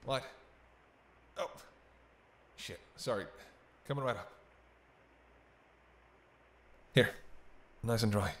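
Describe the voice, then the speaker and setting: A man's voice: mid-range voice, slow, lightly acted. A man playing a sardonic, teasing vampire in an intimate roleplay, talking in a conversational, bantering way with dry sarcasm. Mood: exasperated, fussing. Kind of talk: intimate audio roleplay monologue. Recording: steady hiss and rain behind. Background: rain.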